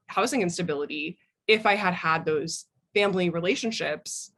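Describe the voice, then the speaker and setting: A woman's voice: fairly low pitch, fairly bright tone. An American woman speaking as an interview guest, telling personal stories in a thoughtful way. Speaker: interview guest, memoir author.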